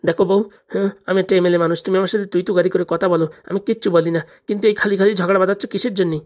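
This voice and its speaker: Casting: man to play exasperated, henpecked husband, comic cartoon, quick plaintive protests. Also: high-pitched, steady tone, natural voice. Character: henpecked, exasperated husband, a small-time village politician.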